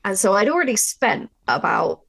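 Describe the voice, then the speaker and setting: A woman's voice: medium pitch, huge pitch swings, moderate pace, vocal fry, a bit bright. A woman talking informally and emphatically in a writing workshop, explaining with examples as a writer. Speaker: audio drama writer leading a workshop. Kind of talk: conversational workshop discussion.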